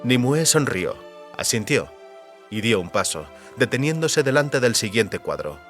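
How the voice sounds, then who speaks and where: A man reading scripted literary narration for an audiobook with measured, deliberate phrasing. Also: mid-range voice, sing-song, fairly bright tone. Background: faint music.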